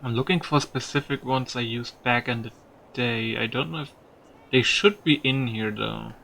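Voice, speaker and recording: A man's voice: mid-range voice, moderate pace, vocal fry, pushed and bright, a little hiss or hum. A man giving casual video game commentary, musing aloud with some mild swearing. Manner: musing aloud, mildly profane.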